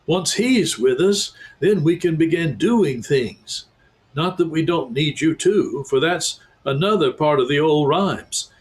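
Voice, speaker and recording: A man reading aloud and voicing an excitable, earnest male beaver who talks emphatically. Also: high-pitched, pitched above the performer's own voice, poor audio.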